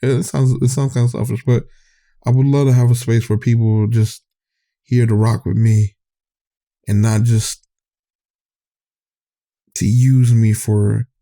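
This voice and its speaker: A man's voice: medium pitch, flat delivery, measured pace, creaky, long pauses, warm tone. A man hosting his own podcast, talking in a candid, rambling way, often trailing off and restarting, his voice getting tired. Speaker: podcast host. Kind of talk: casual rambling monologue.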